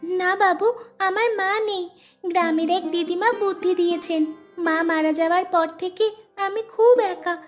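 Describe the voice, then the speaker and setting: Very high-pitched, earnest village girl acted by a woman. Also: measured pace, faint music behind. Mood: sad, plain. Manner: earnest, polite. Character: poor, brave orphaned village girl, earnest and determined. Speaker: cartoon character voice. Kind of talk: dramatic cartoon dialogue.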